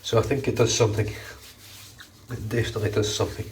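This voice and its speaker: A man's voice: fairly low pitch, fairly quick, far from the mic, a little hiss or hum. A man in his thirties with a blocked, nasal voice, chatting casually through his shave for a grooming vlog, recorded on a phone in a small bathroom. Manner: chatty, relaxed, swears casually. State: congested, nasal. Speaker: shaving vlogger. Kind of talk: casual how-to commentary. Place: small bright bathroom at home. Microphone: phone front camera at arm's length.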